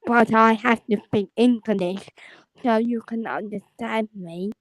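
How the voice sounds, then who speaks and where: Slow, high-voiced young woman caller. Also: fairly even intonation, no echo, low-quality recording. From Caribbean. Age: 20s. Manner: halting, repetitive, stumbling. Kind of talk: casual chat.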